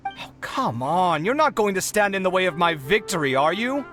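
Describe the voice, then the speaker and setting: A man's voice: high voice, very animated, normal speed, a bit bright, soft background music. A man voicing a dramatic, earnest young idealist in a video game, theatrical and given to pleading. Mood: wheedling. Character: dramatic young male idealist, wheedling yet principled.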